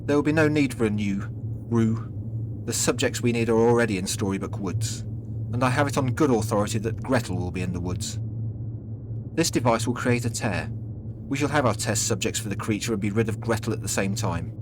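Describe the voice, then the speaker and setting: A man's voice: on the low side, a little fast, noisy background, natural voice. A man playing a sinister, scheming boss in an audio story, giving curt, imperious orders. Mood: cold, commanding. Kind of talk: audio story villain dialogue.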